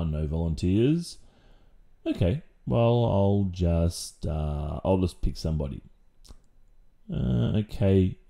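Slow, deep-voiced strict Australian male teacher, acted by a man. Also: warm tone, full character voice. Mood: probing. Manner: deliberate, sarcastic, with sighs and pointed pauses. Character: strict, dominant male maths teacher, sarcastic and exasperated. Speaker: ASMR roleplay performer. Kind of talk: ASMR boyfriend roleplay.

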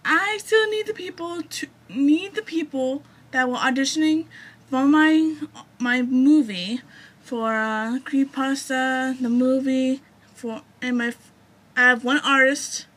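Young woman chatting to her viewers, high voice, slow and kinda hesitant, lots of stops. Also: a bit bright. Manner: halting, hesitant. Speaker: amateur video maker seeking voice actors. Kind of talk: casual update to viewers. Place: small room at home, indoors.

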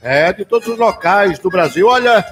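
A man with a Northeastern Brazilian accent hosting a live radio show in a folksy, exclamatory way, chatting and sending shout-outs. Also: high voice, animated, moderate pace, faint music behind. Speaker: radio host. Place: small indoor room used as a webcast studio. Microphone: desk microphone close to the mouth, headphones on.

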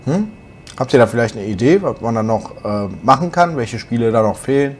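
A young man with a slight cold, hoarse, chatting casually as he plays a truck driving game for his channel. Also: mid-range voice, a little fast, slight background noise. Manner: relaxed, chatty, musing aloud while driving. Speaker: gaming channel host.

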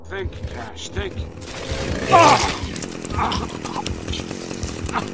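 An actor playing a desperate, ranting villain in a horror game, frantic in manner. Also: male voice, sits high, huge pitch swings, drawn-out words, vocal fry, noisy background, exaggerated voice. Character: desperate, ranting villainous film director cornered by his victim.

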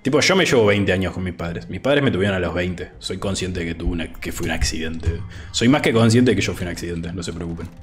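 A young Argentine man in his twenties, talking in a somewhat low voice and a relaxed, chatty way. He records on a headset mic at home, with faint music playing behind him. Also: fast talker. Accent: Argentine Spanish. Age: 20s. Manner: laid-back, chatty, says "boludo" often, reads chat aloud. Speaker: streamer. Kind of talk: casual gaming livestream chat. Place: home room, indoors. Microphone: over-ear headset.

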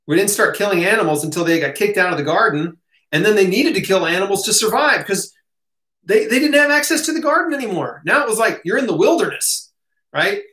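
An American man with a fairly high voice talks casually to camera in a home office. The room adds a clear echo. He hosts a Q&A, giving opinionated answers in colloquial language. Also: lively intonation, brisk, bright, forceful tone. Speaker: host answering audience questions. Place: small home office, indoors.

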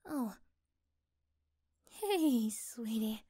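A woman playing an affectionate, curious girlfriend in an ASMR roleplay, speaking gently and haltingly. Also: on the high side, animated, slightly rough, exaggerated voice. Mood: gentle, apologetic.

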